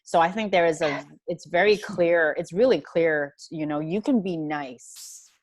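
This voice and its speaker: A woman's voice: on the low side, unhurried, no room sound. A woman from Hong Kong answering as a panelist in a discussion, earnest and thoughtful, with frequent fillers and restarts.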